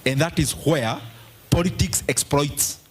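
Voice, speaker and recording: A man's voice: a little high, measured pace, a little hiss or hum. A middle-aged man with a Kenyan accent speaking at a public forum and answering audience questions. He talks emphatically and drops Swahili phrases into his English. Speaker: politician addressing a forum.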